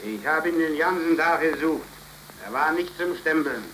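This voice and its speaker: An actor playing an earnest, troubled working-class man in a 1930s radio drama, with a halting, deliberate delivery. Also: on the high side, expressive, close to an everyday voice. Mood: worried, grave. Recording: crackly, hissing 1930s radio recording.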